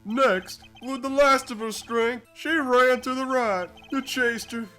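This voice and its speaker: A man's voice: very high voice, steady tone, slow, bright, forceful tone, faint music behind, exaggerated voice. A man in an amateur game fandub playing a pompous, slippery witness with affected, overly formal diction who gets flustered when cornered. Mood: smug, formal.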